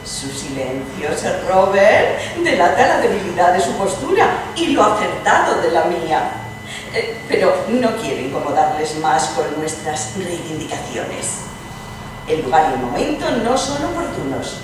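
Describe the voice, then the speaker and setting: An actress plays a worldly, arch princess in a radio-theatre reading. Her delivery is animated and theatrically drawn out, with condescending wit. She is distant from the mic in a very echoey, noisy room. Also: fairly low pitch, measured pace. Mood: cool teasing. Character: witty, worldly aristocratic princess, sharp advocate for women. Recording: echoey hall from far off, noisy.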